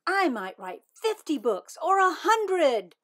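A boastful little storybook rabbit girl, played by a woman reading aloud. Her voice is high and swings widely as she declaims. She is dramatic, gushing and quick to get huffy. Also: sing-song, a bit bright, exaggerated voice. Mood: boastful excitement.

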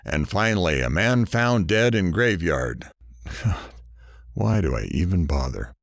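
A man acts out a weary morning radio host in an audio drama. His voice is deep and swings expressively, with dry sarcasm and self-mockery, recorded close and dry. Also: very animated, slow pace, gravelly, dry recording, heavily acted. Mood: dry, exasperated. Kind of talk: scripted audio drama broadcast.

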